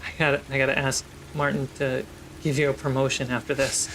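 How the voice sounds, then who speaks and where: A man's voice: mid-range voice, flat delivery, fairly quick, a bit bright, slight background noise, poor audio. A man working as game master, casually narrating and improvising characters for a tabletop role-playing game.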